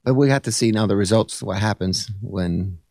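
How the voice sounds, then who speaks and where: A man's voice: fairly low pitch, normal speed, a little mellow, no echo. American man, 40s, earnest radio guest, dry studio mic, loose rambling delivery. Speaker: guest, actor and evangelist. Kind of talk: casual interview talk.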